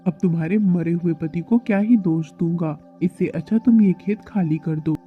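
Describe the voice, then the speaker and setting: A man voices a callous crow moneylender in a children's cartoon. He is demanding and impatient, in a somewhat high male voice. The recording is narrow and phone-like, with faint music behind. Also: moderate pace, close to an everyday voice. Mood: threatening, dismissive. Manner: demanding, dismissive. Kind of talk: Hindi children's cartoon dialogue. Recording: thin phone-like audio with music underneath.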